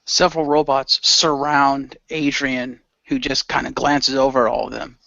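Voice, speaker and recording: A man narrating an action radio play in a casual, halting, improvised style. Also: medium pitch, little pitch movement, gravelly, bright, forceful tone, no room sound, highs cut off.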